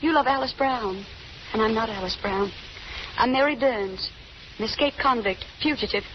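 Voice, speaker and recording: A woman's voice: fairly high pitch, moderate pace, lightly acted. A woman playing a troubled, guarded young fugitive, speaking hesitantly and earnestly in 1930s radio melodrama. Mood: anguished. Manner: hesitant, earnest, broken phrases. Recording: muffled, noisy 1930s radio recording.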